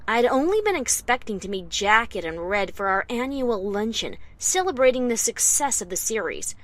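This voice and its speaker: A woman's voice: fairly high pitch, dry recording. A woman voicing a tense pony author who narrates her own story dramatically, as a fan fiction reading. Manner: dramatic, expressive.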